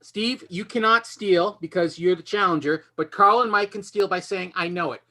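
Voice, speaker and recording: A man with a high, strikingly bright voice hosts a quiz game. He speaks in a lively, partly improvised way, with a sharp, forceful edge to his tone. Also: moderate pace. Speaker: game show host.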